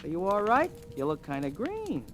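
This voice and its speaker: An actor playing a brash, wisecracking bookie in slangy, needling 1940s radio drama style. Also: male voice, high voice, wildly swooping pitch, normal speed. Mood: gleeful, cocky. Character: brash, wisecracking male bookie, fast-talking and cocky. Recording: old radio recording with music.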